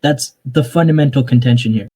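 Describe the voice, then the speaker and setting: A young man arguing his point in an online voice-chat debate, halting and restarting, often cutting in with "hang on". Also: medium pitch, warm tone, husky. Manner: hesitant, restarts a lot, interrupts with "hang on".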